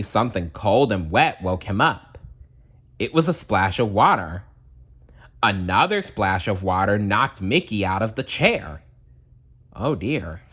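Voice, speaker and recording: A man narrating a children's storybook aloud in a dramatic, deliberate storytelling manner. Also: lowish voice, fairly bright tone, breathy edge.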